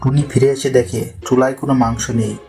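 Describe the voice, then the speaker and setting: A man's voice: medium pitch, little pitch movement, a little fast. A man narrating a scripted children's fairy tale as storyteller. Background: music.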